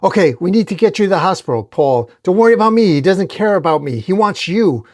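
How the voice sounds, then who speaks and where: A frantic young comic book hero played by a man reading aloud. He is defiant and urgent, pitched up high and exclaiming his lines. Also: moderate pace, creaky, a little mellow.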